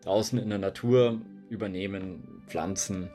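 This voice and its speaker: A husky-voiced man, a biologist, explaining things to children in a plain, patient way. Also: low voice, steady tone, unhurried, dark tone, faint music behind. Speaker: biologist and fish expert.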